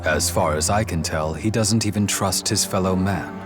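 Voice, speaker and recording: A man voicing a deep-voiced stern warrior. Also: normal speed, natural voice. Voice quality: blunt, clipped, serious. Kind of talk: video game cutscene voice acting. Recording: game audio with music behind.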